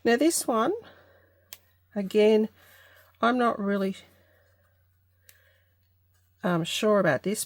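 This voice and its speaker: A woman's voice: on the low side, a little mellow, no echo. Congested woman thinking aloud over crafts. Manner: unhurried, tentative, thinking aloud. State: congested from allergy. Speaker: craft channel host. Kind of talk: casual craft tutorial vlog.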